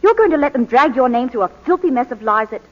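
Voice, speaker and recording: An old, muffled, echoing radio recording of an anxious, devoted wife. Her voice is fairly high and a little husky, swooping with theatrical emotion. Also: animated, quick pace. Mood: indignant. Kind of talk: 1940s radio drama acting. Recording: muffled, reverberant 1941 radio broadcast.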